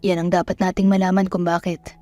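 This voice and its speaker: A woman's voice: lowish voice, little pitch movement, brisk, warm tone, faint music behind, close to an everyday voice. A woman voicing a commanding, brooding young businessman in an audio drama, terse and serious. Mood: stern.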